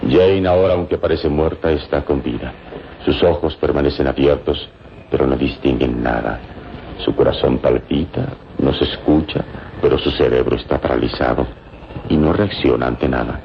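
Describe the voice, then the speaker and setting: Very deep, gravelly, grave radio hero. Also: male voice, steady tone. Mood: solemn warning. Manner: grave, measured, deliberate. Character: calm, wise male hero with hypnotic powers. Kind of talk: old Latin American radio drama acting. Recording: dull, noisy old radio recording.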